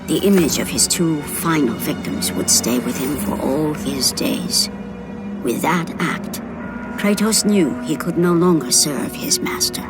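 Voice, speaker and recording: Low-voiced, solemn woman narrator. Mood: grave. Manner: solemn, portentous. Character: grave, solemn female storyteller of a mythic tragedy. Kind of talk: dramatic scripted game cutscene narration. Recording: loud music behind the voice.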